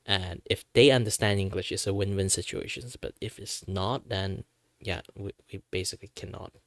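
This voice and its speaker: A man's voice: low voice, steady tone, moderate pace, no room sound, studio quality. A man from Malaysia with a Malaysian accent, Mandarin his first language, chatting casually and rambling with many fillers as a streamer. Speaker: VTuber streamer. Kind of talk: casual chat while drawing on stream.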